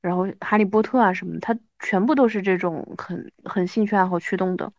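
Female podcast guest, thirties, mid-pitched, animated and self-mocking casual chat, slightly muffled sound. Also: a little fast, no room sound. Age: 30s.

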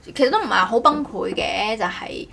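A woman talks in a casual podcast style. Her pitch is average for a woman, and she rambles, often doubling back to correct herself. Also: expressive, moderate pace, creaky, pushed and bright, breathy edge. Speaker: podcast host. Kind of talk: casual chat.

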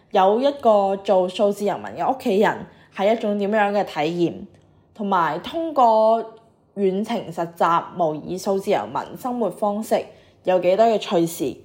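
Young woman, early twenties, lowish pitch, podcast host, scripted introduction. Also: a little mellow. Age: early 20s. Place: university dormitory room.

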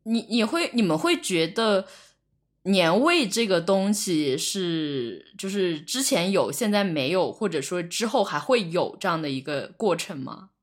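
A woman podcast host in casual chat, speaking in a halting way and often repeating her words. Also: on the low side, animated.

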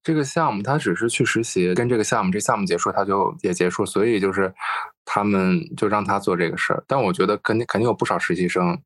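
A man with a fairly low voice hosts a casual podcast. He tells anecdotes at a rapid clip, with colloquial asides. The sound is very dry and close. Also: no echo. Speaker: podcast host.

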